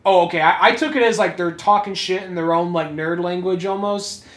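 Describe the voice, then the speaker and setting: A man's voice: high-pitched, steady tone, a little fast. A man co-hosting a casual comic commentary, talking in loose, sweary slang. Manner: profane, slangy. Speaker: podcast co-host. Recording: roomy, echoey sound.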